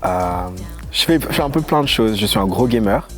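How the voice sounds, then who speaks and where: A young man from Paris, a dance guest in a casual interview who talks in a lively, playful way with quick asides. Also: lowish voice. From Paris, of Congolese origin. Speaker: guest, voguing dancer and house leader. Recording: faint music underneath.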